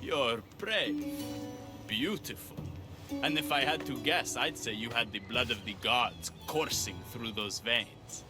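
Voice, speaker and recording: An actor playing a suave, confident rebel leader with a flirtatious manner in a mythic Greek video game. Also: male voice, medium pitch, slow, over music, lightly acted. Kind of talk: video game cutscene dialogue.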